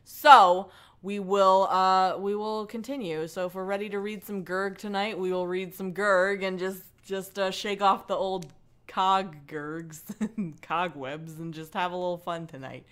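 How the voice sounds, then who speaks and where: Bright-voiced, playful woman livestreamer. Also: mid-range voice, steady tone, measured pace, clean tone. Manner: playful, irreverent, swears casually; chatty, often breaks off into asides. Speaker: livestream host reading a book aloud. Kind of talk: casual livestream chat mixed with mocking dramatic read-aloud.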